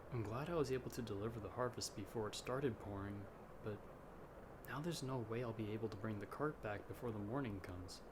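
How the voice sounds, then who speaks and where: A man's voice: on the low side, noisy background, lightly acted. A man playing a humble young farmer's son in an audio roleplay, speaking hesitantly with courteous, old-fashioned formal phrasing. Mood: worried, muttering. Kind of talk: ASMR audio roleplay acting.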